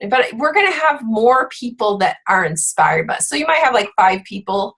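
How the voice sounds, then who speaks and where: A woman hosting a book club, a bit hoarse from being sick, chatting casually with lots of fillers. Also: on the high side, lively intonation, moderate pace, a bit bright. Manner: chatty, with frequent fillers and laughs. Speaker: fitness coach and book club host.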